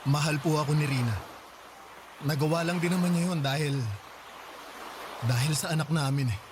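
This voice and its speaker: An actor playing a humble, polite young father in a radio drama, soft-spoken and respectful. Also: a little high, normal speed, background noise, lightly acted. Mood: earnest.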